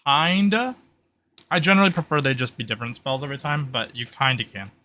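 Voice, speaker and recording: A man's voice: mid-range voice, fast, sharp tone. A man acting as game master, explaining tabletop rules in a casual, loosely hedged way.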